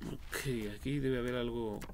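A young man with a Mexican accent commentates a horror game live in slangy, profane bursts. His voice has a gravelly fry in it. The home livestream recording is noisy, with game ambience behind him. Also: mid-range voice, steady tone, normal speed, a little mellow. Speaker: game streamer.

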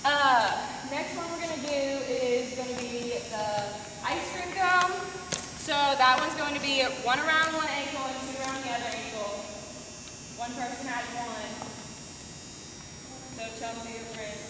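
A woman's voice: sits high, unhurried, background noise. A young woman who is a student teacher giving upbeat instructions and chanting counts to a gym class. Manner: upbeat, directive, counts out loud. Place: large indoor gymnasium. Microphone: camera across the gym. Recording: echoey gym from far off.

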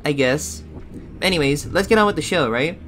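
Man playing a cartoon shadow bear sidekick, fairly high male voice, obliging, hesitant, reaction commentary. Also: animated, normal speed, a bit bright, a little hiss or hum. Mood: obliging, unsure.

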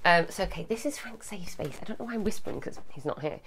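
Loud, animated woman in her thirties. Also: on the low side, fast, slight background noise. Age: 30s. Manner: animated, loud, jumps between topics. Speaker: advice show host. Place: at home, walking through a child's room.

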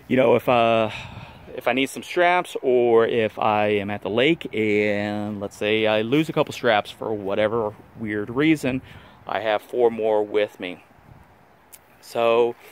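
Easygoing guy filming a diy video in his yard, lots of uh's, talks off the cuff. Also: medium pitch. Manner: relaxed and rambling, many "uh" fillers. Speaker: vlogger showing a DIY kayak rack. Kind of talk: casual how-to vlog. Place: outdoors on a grassy yard.

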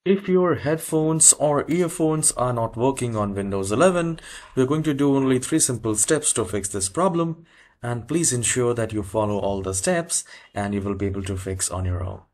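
A man hosting a step-by-step software tutorial, speaking in an instructional way and repeating key steps. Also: mid-range voice, expressive, normal speed. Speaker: tutorial host.

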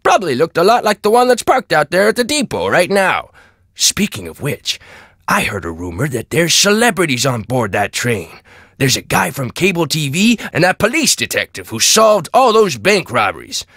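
A garrulous small-town storyteller for a video game. His rural American voice is fairly high and very animated. He rambles in a folksy way, and the recording is close and dry. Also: moderate pace, dry recording, exaggerated voice. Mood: gossipy.

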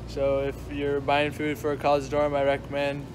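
A man's voice: fairly high pitch, completely flat delivery, normal speed. A young man chatting casually and informally to camera as a vlogger, recorded at arm's length. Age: young adult. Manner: slangy, informal. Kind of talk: casual vlog chat. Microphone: phone or camera at arm's length. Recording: noisy handheld camera recording.

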